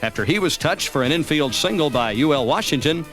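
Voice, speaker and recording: An American man reading scripted narration for a sports highlight documentary. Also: lively intonation, brisk, a bit bright. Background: music.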